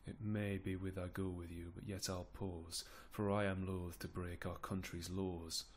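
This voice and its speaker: A man's voice: low-pitched, level tone, measured pace, slight background noise, pitched below the performer's own voice. A man playing a principled, weary old duke who declaims verse sternly and with resignation. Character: weary, principled old duke, rebuking yet powerless uncle.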